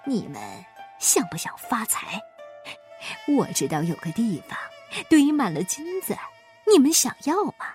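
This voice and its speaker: A woman's voice: high-pitched, big pitch swings, normal speed, darkish tone, soft background music. Woman voicing a sneaky, menacing male treasury minister. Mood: conspiratorial. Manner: conspiratorial, bossy, blustering. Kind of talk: children's storybook character voice.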